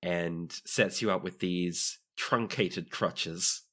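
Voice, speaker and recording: A man's voice: low-pitched, slow, bright, forceful tone. A man improvising narration as game master of a tabletop roleplaying game.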